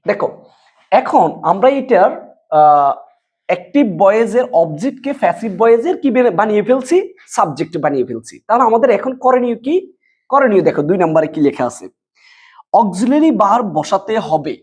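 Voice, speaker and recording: A woman's voice: mid-range voice, very animated, a little fast, a little mellow, husky. A woman teaching students a grammar lesson, explaining step by step with repetition and questions she answers herself. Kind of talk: board lesson addressed to students.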